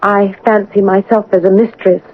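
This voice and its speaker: A woman's voice: mid-range voice, little pitch movement, normal speed, a little hiss or hum, natural voice. An actress portrays a young governess, prim and earnest, in a classic radio play. She speaks formally in a medium register, holding herself back with guarded pride. The recording is narrow and dated, like an old broadcast. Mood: pleading, wounded. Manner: formal, restrained, hesitant. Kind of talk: old-time radio drama acting. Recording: dull, band-limited old radio broadcast.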